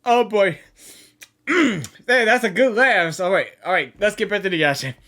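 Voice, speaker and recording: A woman's voice: medium pitch, moderate pace, fairly bright tone. A woman giving casual, informal reaction commentary as she plays through a game, exclamatory and giggly. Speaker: gaming reaction streamer.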